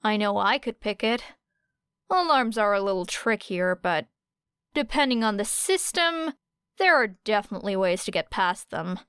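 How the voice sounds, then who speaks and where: A cool, wry teenage girl from an audiobook story, read by a woman. She is deadpan and unflappable, with a clear voice in a dry recording. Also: mid-range voice, normal speed, no room sound. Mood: matter-of-fact. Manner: deadpan, matter-of-fact.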